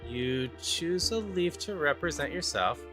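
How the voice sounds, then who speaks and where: Man voicing a slow, hesitant young traveler. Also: fairly high pitch, expressive, voice pushed up. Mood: halting, earnest. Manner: halting, drawn-out, unsure. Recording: music behind the voice.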